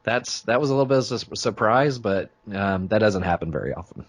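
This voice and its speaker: A man's voice: lowish voice, expressive, brisk. A man who hosts podcasts, chatting informally and telling anecdotes in a casual interview. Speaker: podcast host being interviewed.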